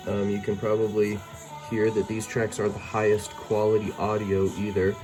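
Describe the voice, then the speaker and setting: A man's voice: fairly low pitch, fairly even intonation, moderate pace. A man giving a casual hands-on product review, thinking aloud hesitantly, as an amateur reviewer. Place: indoors by a window. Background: music tracks played as demonstration.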